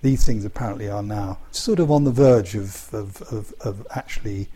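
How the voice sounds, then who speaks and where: A man's voice: fairly low pitch, moderate pace, mellow, breathy edge. A man interviewing a guest, asking long rambling questions with frequent hesitations and restarts.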